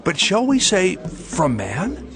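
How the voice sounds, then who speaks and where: A man's voice: high-pitched, wildly swooping pitch, slow, crowd noise, heavily acted. An actor playing a wary, official male religious elder in a dramatized scripture reading. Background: music.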